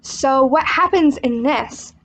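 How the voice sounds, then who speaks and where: A young woman telling a Bible story to children in a quick, chatty way from her home. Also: sits high, fairly bright tone. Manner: quick, chatty, puts on a pompous rich man voice. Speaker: children's ministry teacher. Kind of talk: casual storytelling to kids. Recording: dull lo-fi sound.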